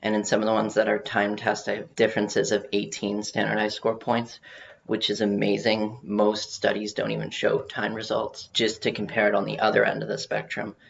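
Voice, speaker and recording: A man giving an informal research presentation, speaking haltingly with some repeated words, on muffled audio. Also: fairly low pitch, completely flat delivery, gravelly. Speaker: presenter on learning to read.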